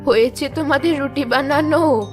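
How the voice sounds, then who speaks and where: A commanding cartoon mother-in-law, acted by a woman, speaks in a high-pitched voice. She is firm and bossy, handing out orders in a declarative way. Music plays behind her. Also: normal speed, close to an everyday voice. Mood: instructing. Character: commanding mother-in-law running a household contest. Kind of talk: animated fairy-tale cartoon voice acting.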